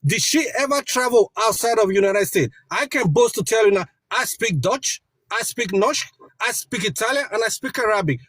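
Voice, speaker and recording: High-pitched husky man lecturing hotly. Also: lively intonation, normal speed, fairly bright tone. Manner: lecturing, combative, talks over others. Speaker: debate panelist. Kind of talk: heated online debate. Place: outdoors.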